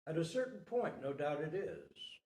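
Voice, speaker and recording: A man speaking as a storyteller and teacher, with a theatrical, measured delivery. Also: on the high side, huge pitch swings, a little mellow. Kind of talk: dramatic story reading and spoken teaching. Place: talk at a Zen center retreat. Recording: roomy echo, distant microphone.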